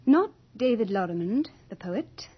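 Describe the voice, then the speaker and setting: An actress playing a young, beautiful female Death in a radio horror drama, theatrical and deliberate, capricious and longing to be mortal. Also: medium pitch, very animated. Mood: cool, judging. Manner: theatrical, deliberate, breathy at moments. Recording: dull old radio drama with music.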